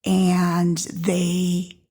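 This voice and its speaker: A woman's voice: completely flat delivery, drawn-out words. A woman in her forties from Georgia, hosting a podcast and telling a personal story in a chatty, casual way, sometimes dropping to a whisper for effect. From Georgia, USA. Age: 40s. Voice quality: deep, loud voice. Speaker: podcast host.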